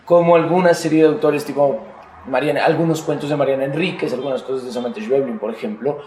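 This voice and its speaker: A man's voice: mid-range voice, steady tone, fast, warm tone. A man interviewing a writer in a conversational way, tentatively reshaping his questions as he goes. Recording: roomy echo, faint background noise.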